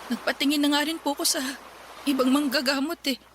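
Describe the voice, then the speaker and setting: A woman's voice: sits high, fairly even intonation, fairly quick, fairly bright tone, a little hiss or hum. A woman playing a guilt-ridden, withdrawn young woman in a radio drama, speaking politely and confessionally. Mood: dejected. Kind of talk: Filipino radio drama dialogue.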